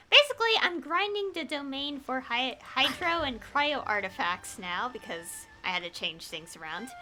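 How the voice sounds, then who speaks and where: A woman's voice: high voice, bright, forceful tone. A woman game streamer giving casual, chatty, rambling live commentary as she plays. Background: game music.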